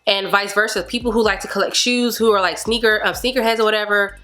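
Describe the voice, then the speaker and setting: A woman's voice: mid-range voice, fast. A young woman with a cold and a slightly stuffy, nasal voice, chatting animatedly in a casual haul review from her couch at home. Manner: animated, talks with her hands. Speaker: beauty and fitness vlogger. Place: living room, seated on a leather couch indoors.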